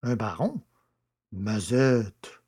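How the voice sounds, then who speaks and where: An actor playing a stubborn, naive village poacher, protesting and eager, in a French audio drama. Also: male voice, on the low side, sing-song, very slow, darkish tone, slightly rough, heavily acted. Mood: puzzled. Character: wrongly jailed village poacher, stubborn, naive, easily flattered.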